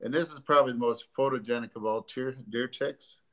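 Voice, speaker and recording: Older man, stuffy nose, halting with fillers, home webcam. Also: medium pitch. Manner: halting, many ums and uhs. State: congested from allergies. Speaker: doctor hosting a live stream. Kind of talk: casual educational talk. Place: living room at home, sitting on a sofa. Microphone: webcam or laptop camera at desk distance.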